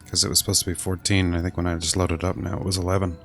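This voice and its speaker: A man's voice: low-pitched, flat delivery, very fast, fairly bright tone, soft background music. A man giving casual live gameplay commentary as a streamer, rambling and thinking aloud. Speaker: game streamer.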